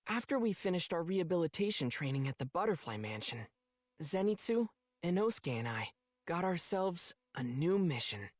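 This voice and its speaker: A man's voice: on the high side, very animated, normal speed, no room sound, clean studio sound. A man voicing a kind-hearted teenage boy swordsman in an anime game, sincere and earnest. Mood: earnest, reflective. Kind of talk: anime game voice acting, reflective narration.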